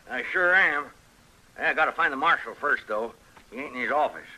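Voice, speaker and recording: A man playing a brash, rough-edged cowhand with a Western twang in a radio western. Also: mid-range voice, animated, normal speed, sharp tone, a little hiss or hum, poor audio. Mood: breezy. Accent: American Western twang. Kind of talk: old-time radio western acting.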